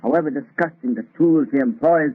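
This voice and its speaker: A thin, boxy old radio recording of a kindly professor played by a man. His voice is fairly high, and he speaks with courteous, reassuring calm. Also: narrow, tinny audio, close to an everyday voice. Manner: mild, courteous, measured. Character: genial, reassuring older male professor of science. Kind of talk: 1930s radio drama acting.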